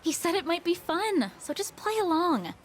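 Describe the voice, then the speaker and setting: A woman's voice: extremely high pitch, big pitch swings, moderate pace, a little hiss or hum. An actress voicing an easygoing, teasing young working woman in a video game, with a friendly, coaxing manner. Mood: playful, coaxing. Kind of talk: English video game voice acting.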